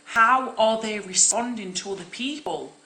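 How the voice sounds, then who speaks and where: A young woman, an acting coach, teaching in a loud, projecting and direct way on a live stream. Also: fairly high pitch, moderate pace, roomy sound.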